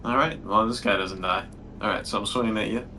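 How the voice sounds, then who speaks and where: A man's voice: on the low side, fairly even intonation, quick pace, background noise. A man playing a card game who calls out his turns and cracks friendly jokes. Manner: joking, friendly table talk. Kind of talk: casual game-table chat.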